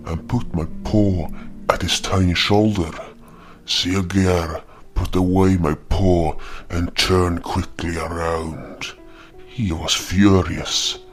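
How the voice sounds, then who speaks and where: A man playing a brooding, wounded beast hunter, narrating his own tale solemnly in a dramatic audio story. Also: sits low. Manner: solemn, slow, deliberate delivery.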